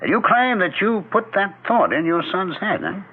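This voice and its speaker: An actor playing a skeptical, irritable husband in a 1970s radio drama, with a dismissive, blunt manner that can turn smoothly polite. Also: male voice, high-pitched, sing-song, moderate pace, exaggerated voice. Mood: irritated, skeptical. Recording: muffled, narrow-band 1970s radio recording.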